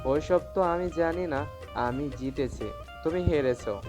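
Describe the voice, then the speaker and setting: A woman's voice: sits low, measured pace, darkish tone, soft background music. A woman voicing a gleeful, boastful young kid brother in an audio story, taunting and playful. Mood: playful gloating.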